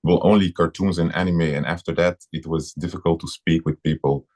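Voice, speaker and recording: A man with a Belgian accent and an extremely deep voice shares a personal story on a livestream. He talks haltingly, full of pauses and fillers, and sounds a bit distant from the mic. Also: level tone, darkish tone. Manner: hesitant, halting, many fillers. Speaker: guest.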